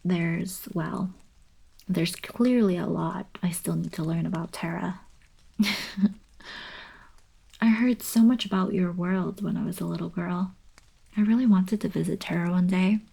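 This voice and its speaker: A young woman playing a friendly, eager alien princess in an ASMR roleplay, soft and whispery, with casual girlish coaxing. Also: lowish voice, measured pace, vocal fry, pauses often, husky, full character voice. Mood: soft, apologetic. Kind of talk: ASMR roleplay, intimate acted monologue.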